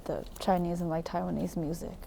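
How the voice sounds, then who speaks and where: A woman's voice: fairly low pitch, steady tone, a little fast, vocal fry, dark tone, slight background noise. A woman interviewer asking casual questions in a slightly tentative way.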